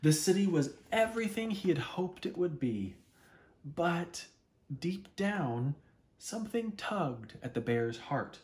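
Slow, animated man reading a storybook. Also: on the high side. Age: 30s. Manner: dramatic, deliberate pauses and interjected wonder. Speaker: storyteller reading a picture book. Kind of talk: expressive children's read-aloud. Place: small living room at home, indoors.

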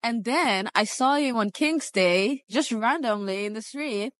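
A young woman chatting openly in a street interview by the water, telling her story in an animated way into a handheld mic. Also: on the high side, unhurried, dry recording. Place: outdoors on a waterfront.

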